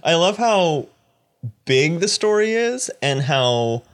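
A man's voice: fairly high pitch, sing-song, slow pace. Conversational male podcast host. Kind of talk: casual film discussion.

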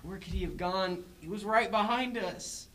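A man's voice: high voice, normal speed, a bit bright, voice pushed up. A young man acting as a protective, decisive older brother leading survivors in an amateur zombie radio drama. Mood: determined. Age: teenager or young adult. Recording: music behind the voice.

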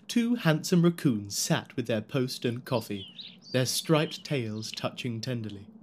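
A man playing the storyteller of a dark animal audio drama, narrating in a measured, theatrical way over music. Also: medium pitch, lightly acted. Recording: music clearly behind.

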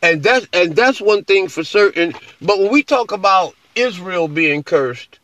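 A man in a conversational religious discussion, talking haltingly and repeating his phrases. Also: sits high, lively intonation, a bit bright. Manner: halting, repeats phrases, often checks with "you know". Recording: dull, lo-fi call audio.

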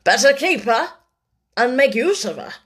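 Storybook role: sly, calculating businessman played by a female reader, unperturbed, dry, with animated intonation. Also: fairly high pitch, a little slow, pitched above the performer's own voice. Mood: sly, calm. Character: shrewd, unruffled, sinister businessman, a calculating man. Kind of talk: dramatised storybook reading.

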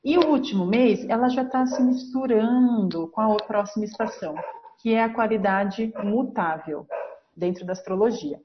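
A woman's voice: mid-range voice, normal speed, dull audio, slight background noise. A woman with a Brazilian Portuguese accent, an astrologer hosting a podcast, explaining her subject step by step in a teaching manner. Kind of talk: podcast lesson.

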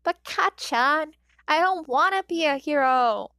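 A woman voicing a gentle, determined young boy who speaks softly and earnestly. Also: high-pitched, animated, a little slow, dry recording, clean studio sound, voice pushed up, heavily acted. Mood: pleading.

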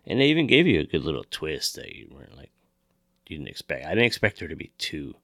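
A man's voice: very deep voice, very animated, fast talker, vocal fry, fairly bright tone, dry recording, studio quality. A man hosting a podcast in casual, informal chat, swearing freely. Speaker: podcast host.

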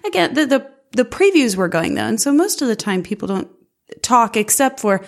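A woman co-hosting a casual chat, telling stories with a loud, carrying voice and frequent laughter. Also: fairly high pitch, fairly quick. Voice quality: loud voice that carries. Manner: animated storyteller who laughs often. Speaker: podcast co-host.

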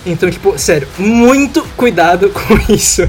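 A young Brazilian man with a fairly high voice chats casually as a podcast panelist. He rambles along in loose slang, with faint music behind him. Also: expressive, moderate pace. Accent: Brazilian Portuguese. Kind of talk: casual chat.

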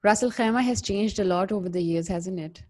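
A woman hosting a podcast, reading a measured scripted intro before moving into upbeat interview questions. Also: medium pitch, warm tone. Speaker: podcast host.

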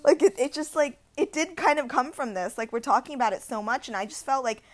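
A young woman from India co-hosting a podcast, telling a story in a casual, animated way with lots of "like" fillers. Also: high voice, fast. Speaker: podcast co-host. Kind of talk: casual chat.

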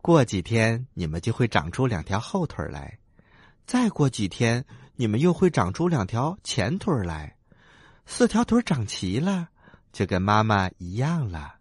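A man's voice: on the low side, big pitch swings, moderate pace, breathy edge, no echo, clean studio sound, voice pushed down. A man voicing a loving, patient mother frog in a children's story, tender and explanatory. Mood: tender, amused.

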